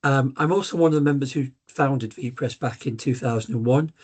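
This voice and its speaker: A middle-aged Geordie man presents a live business webinar in a relaxed, chatty way. His voice sits at an ordinary middle pitch, and his talk is full of ums and uhs as he explains his company's work. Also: moderate pace, breathy edge. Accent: Geordie (Newcastle). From Newcastle, England. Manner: conversational, many ums and uhs. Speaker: sales director presenting a webinar.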